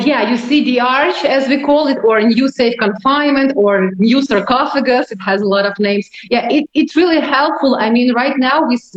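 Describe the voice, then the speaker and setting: High-voiced Ukrainian woman, echoey muffled recording. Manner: non-native English with dropped articles. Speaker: tour guide being interviewed. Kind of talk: conversational interview answers. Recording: roomy echo, muffled lo-fi, faint noise.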